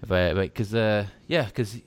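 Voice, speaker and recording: A man with a somewhat low voice talks college football in a loose, rambling way, circling back with restarts and fillers. He grew up in Texas and chats casually with a friend. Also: moderate pace. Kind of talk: casual sports chat.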